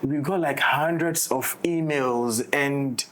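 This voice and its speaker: Expressive man chatting casually. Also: mid-range voice, takes it slowly. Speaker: audio drama director in discussion. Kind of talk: casual unscripted conversation.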